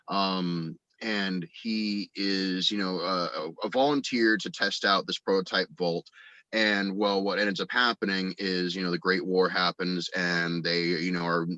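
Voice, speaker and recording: A man from the United States chatting casually as an interview guest, rambling and halting as he feels for his words. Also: low voice, pushed and bright, dry recording. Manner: halting, rambling, frequent verbal hedging. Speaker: guest, audio drama actor and writer. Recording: dry, dull lo-fi voice recording.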